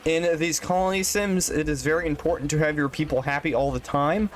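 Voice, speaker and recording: A man's voice: a little high, steady tone, a little fast, soft background music. A congested man giving casual, rambling commentary as he plays a game, thinking aloud and often unsure. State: congested from allergies. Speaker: gaming channel host.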